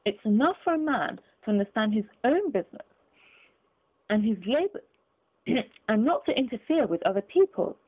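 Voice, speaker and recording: A woman's voice: a little high, big pitch swings, normal speed, husky. A woman voicing a cold, curt, indignant old miser in a dramatised storybook reading. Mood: curt, dismissive. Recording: muffled phone-like narrow sound.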